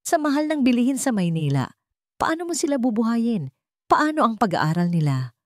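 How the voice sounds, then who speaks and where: A woman acts out a rich young businessman in a romantic audio drama. He is commanding and persuasive, pressing his point with lively swings of pitch in a dry, close recording. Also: normal speed, no room sound. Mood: persuasive, businesslike. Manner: firm, pragmatic, urgent.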